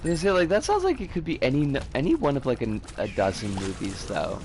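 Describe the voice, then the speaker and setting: A man with a scratchy, hoarse voice from an oncoming cold, chatting casually and opinionatedly with some swearing as he streams a game. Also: medium pitch, big pitch swings, brisk, a little mellow. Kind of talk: casual live game commentary and chat.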